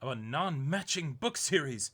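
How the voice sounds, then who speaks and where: A man's voice: a little high, lively intonation, normal speed, sharp tone. A young man co-hosting, reading aloud casually and breaking into easygoing, chatty asides. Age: young adult. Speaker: co-host of an unboxing show. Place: small indoor room.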